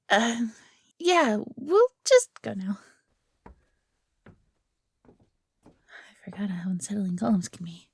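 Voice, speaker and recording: A woman's voice: a little high, darkish tone, breathy edge, dry recording, full character voice. A woman playing a friendly, nervous fox companion in a fantasy audio roleplay, speaking to the listener in a hesitant, chatty way.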